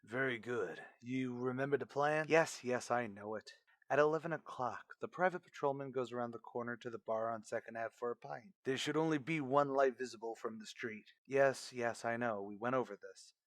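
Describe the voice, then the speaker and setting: An actor playing a callous criminal plotting over the telephone in a radio drama, clipped and matter-of-fact. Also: male voice, medium pitch, brisk, no echo, lightly acted. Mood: cold, businesslike. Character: cold-blooded hired killers plotting by telephone. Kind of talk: radio crime drama acting.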